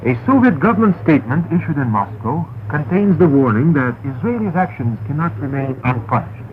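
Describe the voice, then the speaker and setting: A man reads a formal scripted news bulletin as a radio newsreader, in a measured, carefully enunciated way. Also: on the high side, big pitch swings. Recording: noisy narrow-band shortwave radio recording.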